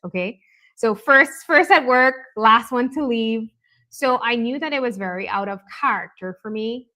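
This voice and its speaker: A woman from Canada speaks as a talk show guest, sharing her own experience in an open, reflective way. She talks in a relaxed conversational rhythm, often checking in with a quick "right?". Her voice sits at an ordinary middle pitch. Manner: candid, reflective, frequent "right?" tags. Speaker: guest, life coach. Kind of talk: conversational interview.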